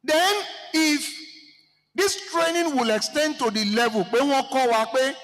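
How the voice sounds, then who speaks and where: A Nigerian man with a Yoruba-speaking accent speaks high and unhurried, throwing rhetorical questions at the crowd. His voice rings through the long reverb of a large hall. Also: a bit bright. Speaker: seminar participant speaking to the floor. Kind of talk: impassioned address to a seminar audience.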